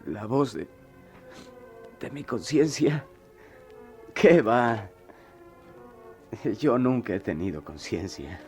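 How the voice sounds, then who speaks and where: A man's voice: mid-range voice, lively intonation, soft background music. An actor playing a troubled, proud, streetwise poor boy in a radio drama, with a Mexican accent and slangy, impassioned delivery. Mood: defiant. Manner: slangy, impassioned, halting when cornered.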